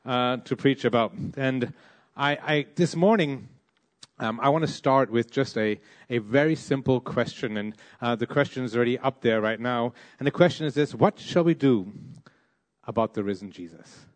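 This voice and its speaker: A man's voice: mid-range voice, normal speed. A young man from Malaysia preaching a sermon, with frequent "uh" and "um" fillers between phrases. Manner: hesitant, many "uh" and "um" fillers.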